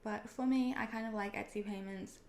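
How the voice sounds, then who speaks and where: A young woman with an Australian accent walks through her topic in a relaxed, chatty vlog style. Her voice has a gravelly, fried edge at a middle pitch. She is recorded in an echoey room with a faint background hiss. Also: brisk. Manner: friendly, chatty, with frequent "um" and "personally". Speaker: online seller and video creator. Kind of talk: casual explainer vlog.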